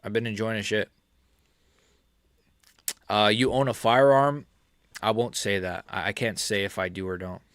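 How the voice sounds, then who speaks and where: A man's voice: on the low side, fairly even intonation, normal speed, pauses often, a bit bright, clean tone, dry recording, studio quality. A man in his early twenties from the United States, chatting casually on a live stream, rambling with lots of fillers and laughs. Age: 20s. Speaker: MMA YouTuber streaming live. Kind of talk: casual live-stream chat reading chat questions.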